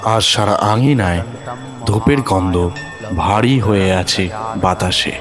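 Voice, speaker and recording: A man narrating a horror thriller audio story in a dramatic, suspenseful way with deliberate pauses. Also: fairly low pitch, music in the background.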